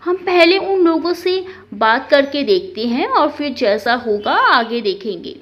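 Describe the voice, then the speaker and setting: A woman's voice: high voice, sing-song, measured pace. A woman voicing a patient middle-aged mother who placates and reasons in a family story. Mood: placating. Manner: placating, persuading. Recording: dull, lo-fi sound.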